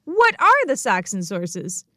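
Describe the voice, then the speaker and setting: A woman's voice: mid-range voice, huge pitch swings, slow pace, sharp tone, dry recording, clean studio sound. A woman hosting a podcast and giving a scripted history lesson in a playful, emphatic way, with little laughs. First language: English. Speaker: podcast host.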